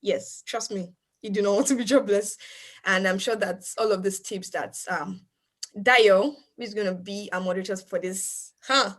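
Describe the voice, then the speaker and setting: A woman's voice: medium pitch, fairly even intonation, normal speed. A woman hosting an online event on a video call, chatty and playful as she introduces the next session. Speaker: event host. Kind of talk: casual moderating.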